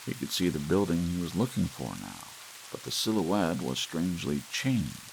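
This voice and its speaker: A man with a low, gravelly voice narrates a story softly and slowly, lingering on pauses in a gentle bedtime manner. His pitch moves a lot for such a quiet read, rising and dipping with each phrase. Faint hiss and soft rain sit under the voice. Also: very animated, poor audio. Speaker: audiobook narrator.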